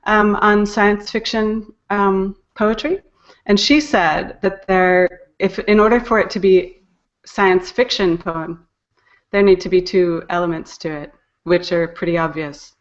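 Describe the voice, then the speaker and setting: A woman's voice: medium pitch, measured pace, lo-fi sound. A woman talking casually as an interview guest, hesitant and thinking aloud as she goes. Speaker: guest, poetry podcast editor and narrator.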